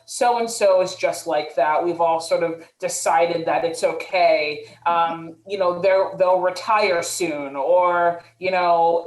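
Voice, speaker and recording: A woman speaks in a lowish voice on a webinar panel, her words carrying a roomy echo. She talks thoughtfully and hedges often with "sort of" and "like." Her speech comes over a video call. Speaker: panelist, mental health professional.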